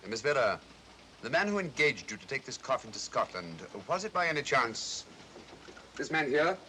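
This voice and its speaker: An actor playing a sharp, self-assured English detective, crisp and probing, in vintage mystery film style. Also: male voice, medium pitch, big pitch swings, normal speed. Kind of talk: 1940s mystery film acting. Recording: noisy vintage film soundtrack.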